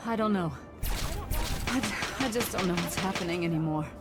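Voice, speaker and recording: A woman's voice: fairly low pitch, moderate pace, lightly acted. An actress playing a distraught, weary woman scientist in a video game, urgent and faltering. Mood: shaken, exhausted. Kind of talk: dramatic video game acting. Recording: music behind the voice.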